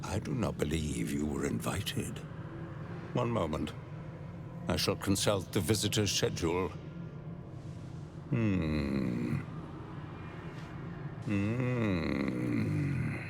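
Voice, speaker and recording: A stubborn, fussy keeper of a hellish archive in a video game. His voice is low and heavily creaky, his manner formal and pedantic. Noisy ambience sits behind him. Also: big pitch swings, extremely slow, pauses often, exaggerated voice. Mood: suspicious, officious. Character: fussy, stubborn, officious male infernal archivist.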